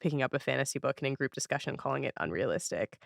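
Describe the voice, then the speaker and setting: A woman's voice: extremely low pitch, expressive, rapid-fire, gravelly, dry recording, clean studio sound. A woman hosting a casual interview, reflective and affirming, speaking in an informal conversational way. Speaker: podcast host, interviewer.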